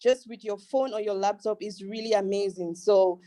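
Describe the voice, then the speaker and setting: A woman's voice: mid-range voice, moderate pace. A woman from Cameroon on a video call as a student guest, cheerfully describing her experience. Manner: cheerful and excited.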